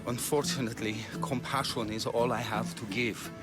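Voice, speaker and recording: An actor playing a compassionate, troubled physician of ancient Greece in a video game, speaking earnestly and gravely. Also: male voice, mid-range voice, fairly even intonation, normal speed, lightly acted. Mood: grave, sorrowful. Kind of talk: dramatic video game acting. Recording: music behind the voice.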